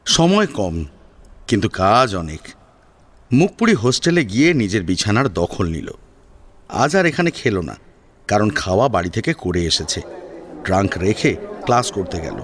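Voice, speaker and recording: A man's voice: lowish voice, sing-song, normal speed, pauses often. A man reading a dramatic audio story as its narrator. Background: background music.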